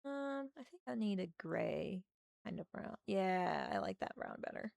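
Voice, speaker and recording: Slightly hoarse woman, chatty and gravelly. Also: medium pitch, very animated, measured pace, darkish tone, no echo, clean studio sound. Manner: chatty, thinking aloud, muttering to herself as she works. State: slightly hoarse from a sore throat. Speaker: art streamer. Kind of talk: casual livestream chat while drawing.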